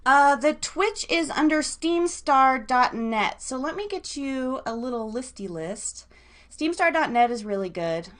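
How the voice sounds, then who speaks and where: A woman's voice: sits high, lively intonation, measured pace, fairly bright tone. An American woman hosting a casual live show in a bubbly, chatty way with playful wording. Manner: bubbly, playful word coinages. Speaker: radio show host.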